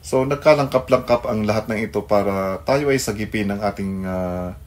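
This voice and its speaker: A male Filipino pastor hosting a devotional radio program, speaking haltingly and searching for words. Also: on the low side. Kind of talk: devotional talk mixing Tagalog and English.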